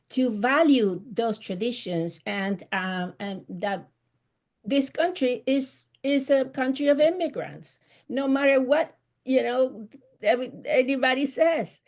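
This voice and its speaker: Slow, earnest woman with an Argentine accent. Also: fairly high pitch. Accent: Argentine Spanish. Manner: earnest, searching for words. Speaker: author guest. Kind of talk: live video chat interview.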